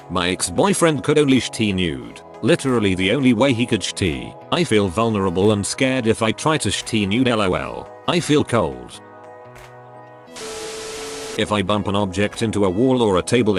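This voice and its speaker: A male narrator with a fairly low voice works through a list of online posts, reading them out one after another. He spells out abbreviations and even punctuation marks literally, in an even reading manner. Light background music runs quietly beneath his voice. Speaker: narrator of a Reddit reading channel.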